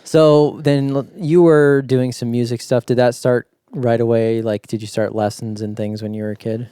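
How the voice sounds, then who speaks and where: Mellow, smooth-voiced young American host. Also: male voice, medium pitch, level tone, moderate pace, no echo, studio quality. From United States. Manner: easygoing, many fillers and agreements. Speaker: podcast host. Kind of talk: casual interview.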